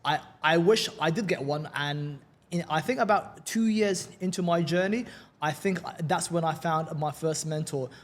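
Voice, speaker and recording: London guy chatting on a podcast, upbeat, kinda high voice, trips over his first words a lot. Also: normal speed. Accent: London English. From England. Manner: upbeat, chatty, repeats words when starting sentences. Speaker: guest. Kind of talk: casual podcast interview.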